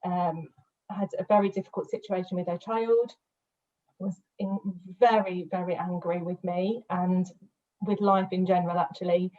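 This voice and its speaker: A woman's voice: lowish voice, fairly even intonation, moderate pace, phone-quality sound. A woman answering on a discussion panel in an earnest, reflective way, with a light laugh now and then.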